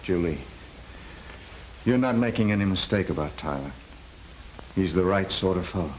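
A man's voice: low-pitched, animated, normal speed, poor audio. Swooping, earnest 1930s film man. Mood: grave, resigned. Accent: American. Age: middle-aged. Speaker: film actor. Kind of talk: 1930s film drama acting. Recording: hissy 1930s film soundtrack.

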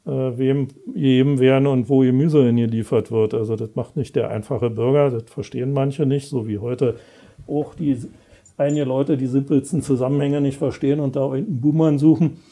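A man, a guest author talking in a relaxed, rambling way with many fillers, on a lapel mic in a studio. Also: medium pitch, a little fast. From Berlin, East Germany. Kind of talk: relaxed conversational interview. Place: studio set with brick wall.

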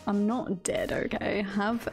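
A woman's voice: mid-range voice, flat delivery, slow, faint music behind. A woman streaming a video game, chatting casually and reacting aloud in a playful, giggly manner. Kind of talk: casual livestream chat and game commentary.

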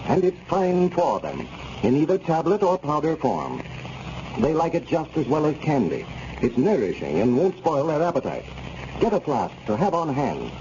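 A man announcing on 1930s radio in a measured, formal advertising style. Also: medium pitch, mellow. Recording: muffled, noisy 1930s radio recording.

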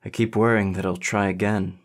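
A man's voice: on the low side, flat delivery, fairly quick, natural voice. A man voicing an anxious, sweet and sensitive teenage boy in audiobook dialogue. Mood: worried.

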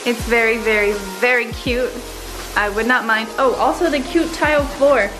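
A woman in her twenties, talking casually and excitedly to a handheld camera while touring a rental house. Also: a little high, normal speed, music in the background, poor audio. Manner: bubbly, excited, filler-heavy. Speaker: travel vlogger. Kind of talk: casual vlog chat. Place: rental house indoors and forest outdoors. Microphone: handheld camera at arm's length.

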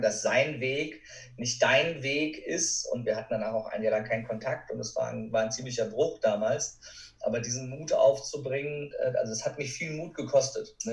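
A man giving earnest, reflective answers as a podcast guest, with emphatic repetition. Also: medium pitch. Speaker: podcast guest, courage expert. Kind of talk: reflective conversational interview answers. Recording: roomy, distant from the mic.

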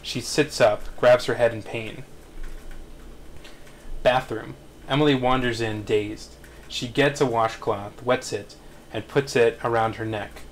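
A man's voice: medium pitch, fairly even intonation, measured pace, long pauses. A man reading stage directions as narrator at a script table read. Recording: faint background noise.

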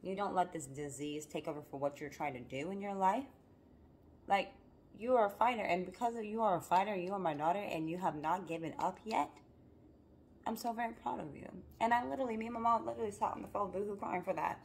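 A young woman vlogging casually to her phone camera at home, talking emphatically and a little hoarse from rehearsals. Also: mid-range voice, quick pace, a little hiss or hum. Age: young adult. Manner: animated, emphatic motivational talk. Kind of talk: casual vlog talk to camera. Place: home living room, then car. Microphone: phone camera held close.

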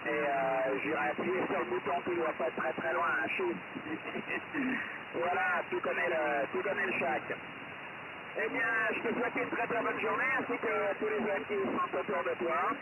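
A man's voice: high-pitched, wildly swooping pitch, measured pace. A cheerful man chatting casually as an amateur radio operator over a radio link. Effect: single-sideband radio transmission. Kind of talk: casual ham radio contact with phonetic callsigns. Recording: very noisy satellite radio link.

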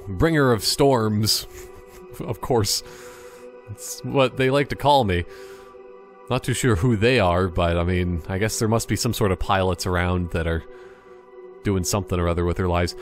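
A man giving casual, rambling gameplay commentary with playful, self-mocking asides, sometimes reading on-screen text aloud. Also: mid-range voice. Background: game music.

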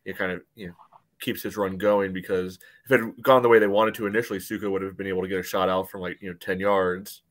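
A man in a casual sports breakdown on a video call, explaining plays in a measured way with frequent "you know". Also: deep voice, flat delivery. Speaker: podcast panelist. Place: indoor room, remote video call. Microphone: video call microphone.

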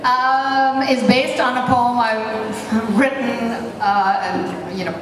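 A middle-aged woman from Pakistan introducing her poem on stage, speaking slowly with many pauses and fillers. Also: on the high side, fairly even intonation, noisy background. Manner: slow, hesitant, many fillers. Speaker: poet and performer. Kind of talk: spoken introduction at a concert. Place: large hall on a stage. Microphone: stand mic, recorded from far back in the audience. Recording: echoey hall from far off. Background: live band and audience.

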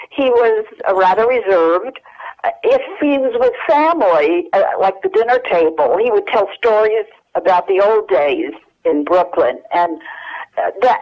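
An older woman reminiscing as an interview guest over a telephone line, with many pauses and restarts. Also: medium pitch. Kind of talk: casual phone interview.

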